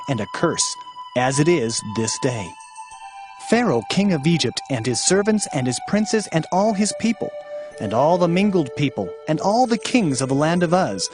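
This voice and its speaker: An actor playing an impassioned male prophet warning his people, emphatic and solemn in a dramatized scripture reading. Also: a little high. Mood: solemn, declamatory.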